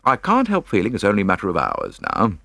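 Cocksure police inspector as put on by a male reader: low-pitched, brisk, blunt, chatty, for a detective story. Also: sing-song, fairly bright tone, no echo, clean studio sound, full character voice. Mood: cheerful.

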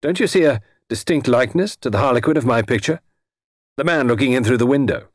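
Man acting a boisterous, excitable painter, raised voice. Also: medium pitch, fast talker, no room sound, clean studio sound, voice pushed up, lightly acted. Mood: excited. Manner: blurting, emphatic.

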